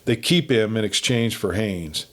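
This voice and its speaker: A man's voice: on the low side, a little slow, fairly bright tone. A man voicing an earnest young woman, a cattleman's daughter in a western story, urgent and pleading. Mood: desperate, pleading.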